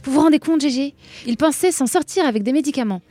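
A woman's voice: high-pitched, quick pace, noisy background, natural voice. A woman playing a young, earnest and smug anarchist country doctor in a comic audio drama. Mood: indignant. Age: young adult. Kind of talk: French comic audio fiction acting.

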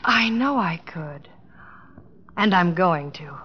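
A woman's voice: fairly low pitch, lively intonation, takes it slowly. An actress playing a sensible, spirited young small-town American woman, acting and narrating in a 1950s radio drama. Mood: eager. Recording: dull old radio broadcast.

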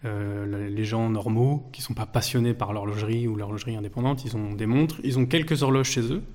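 A man's voice: lowish voice, steady tone, brisk, darkish tone. Young man, hesitant and searching. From France. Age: 20s. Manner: hesitant, many restarts and fillers. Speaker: guest. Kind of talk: casual interview.

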